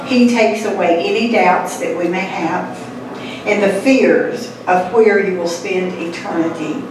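A woman's voice: on the low side, unhurried. Woman preaching in a very echoey hall. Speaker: puppet show host. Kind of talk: evangelistic talk to an audience. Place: hall indoors, recorded from the audience. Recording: distant, echoey, noisy room recording.

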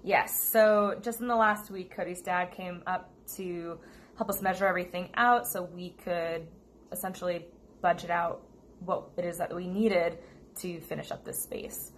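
A woman's voice: lowish voice, moderate pace. An American woman talking casually to viewers as a vlog co-host. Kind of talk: casual chat to camera. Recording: steady noticeable background noise.

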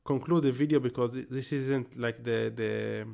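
A man's voice: mid-range voice, normal speed, vocal fry, a little mellow. A man in his thirties with an Israeli accent, a native Hebrew speaker, talking casually through a screen-share tech tutorial and thinking aloud as he works. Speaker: tech YouTuber.

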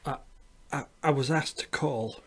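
A man voicing an uneasy company messenger who stammers and speaks quietly. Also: medium pitch, a little slow, breathy edge, voice pushed up. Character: ill-at-ease, stammering male company visitor.

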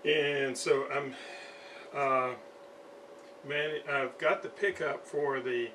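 Slow-talking man, recorded from a distance. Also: medium pitch, fairly even intonation, a bit bright. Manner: halting, hesitant, thinking aloud. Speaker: radio restorer, video host. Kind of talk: casual hands-on demonstration talk. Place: indoor home workshop at a desk. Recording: distant mic with background noise.

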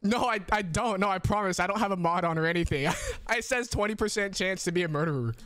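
A man in his twenties streaming a game with friends, talking excitedly, stammering and pleading. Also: high voice, fast talker, no room sound. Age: 20s. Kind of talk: casual gaming chat.

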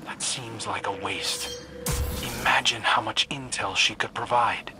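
Guy playing an earnest questioning rogue in a video game, casual talk, slightly deep, music under it. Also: unhurried, natural voice. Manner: informal, conversational. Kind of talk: video game character dialogue. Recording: music bed behind the dialogue.

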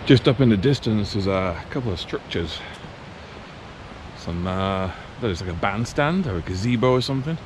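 A man's voice: fairly low pitch, noisy background. A middle-aged male vlogger giving casual, musing commentary while walking outdoors with a handheld camera. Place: outdoors on a park road and path. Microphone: handheld action camera at arm's length.

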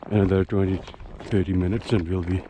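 A man filming himself on a snowy mountain hike, describing the trail in a slow, halting casual way with many fillers. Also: low voice, level tone, background noise. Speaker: hiker filming his trip. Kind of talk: casual vlog narration.

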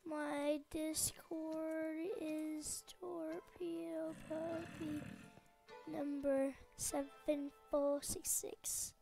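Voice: high-pitched, level tone, very slow, creaky, dark tone, rough recording. A young person hosting a casual gaming prank video in an informal, giggly way. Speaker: video host. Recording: music behind the voice.

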